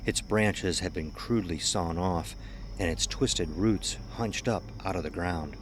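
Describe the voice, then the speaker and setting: A man narrating a scripted horror story in a measured, ominous, dramatic storytelling manner. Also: sits low, background noise. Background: music under the voice.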